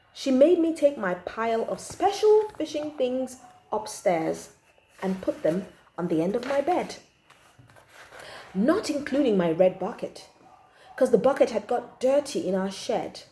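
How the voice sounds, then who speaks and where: A woman reading a children's story aloud in an expressive, emphatic storytelling style. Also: a little high. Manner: animated, emphatic, acts out the child narrator's feelings. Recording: echoey room.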